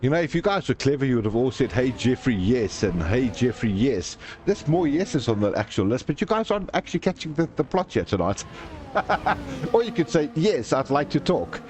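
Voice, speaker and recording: A man's voice: mid-range voice, very animated, moderate pace, darkish tone. A man hosting a live sim racing stream, calling the race and joking with viewers in the chat. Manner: jokey, chatty, reads viewer comments aloud.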